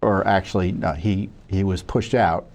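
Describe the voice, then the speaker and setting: A man's voice: low-pitched, steady tone, moderate pace, creaky, a little mellow. An American man presenting news commentary as a spokesman, part reading and part ad-libbing, often stumbling and correcting himself conversationally. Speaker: watchdog group spokesman presenting records. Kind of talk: news commentary to camera, part read out, part ad-libbed.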